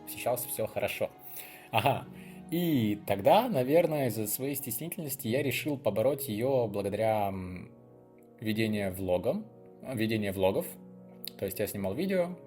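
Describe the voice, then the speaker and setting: A man's voice: fairly low pitch, animated, normal speed, a little mellow, soft background music. A young man telling personal stories to viewers in a casual, unscripted way, chatty and rambling as he thinks aloud. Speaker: video blogger hosting his channel.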